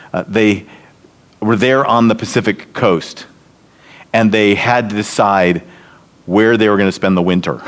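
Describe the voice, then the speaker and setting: A man giving a storytelling sermon at a church event, building to emphatic points. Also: low-pitched. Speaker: seminary leader speaking at church event. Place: church meeting hall.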